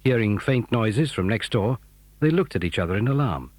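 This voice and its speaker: A man's voice: fairly low pitch, animated, normal speed, no echo. A British man narrating a children's adventure drama in a scripted storytelling style.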